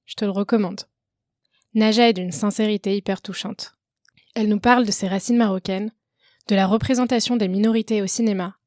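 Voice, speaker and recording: A woman's voice: mid-range voice, darkish tone, no echo, studio quality. A woman hosting a podcast, reading a friendly scripted intro that speaks straight to the listener, then asking interview questions. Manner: friendly, direct, addresses listener informally. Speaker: podcast host.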